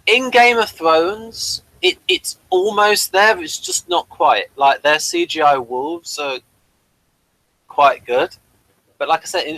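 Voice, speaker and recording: Bright-voiced man chatting casually. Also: fairly high pitch, no room sound, rough recording. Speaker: co-host of a sci-fi TV episode review. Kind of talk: casual chat reviewing slides.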